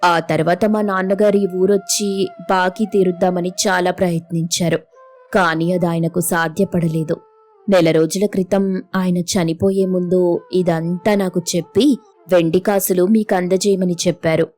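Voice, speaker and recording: A woman's voice: fairly low pitch, steady tone, normal speed, darkish tone, soft background music. A woman storyteller narrating a scripted moral tale.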